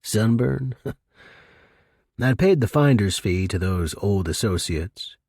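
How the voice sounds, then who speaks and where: A weary middle-aged tough guy played by a male reader tells his own noir story in a very deep, husky voice. He is wry and clipped in a hardboiled way, with tender regret underneath. The recording is dry and close. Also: expressive, slow, a little mellow, no room sound, full character voice. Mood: wry reminiscence. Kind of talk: first-person noir audiobook narration.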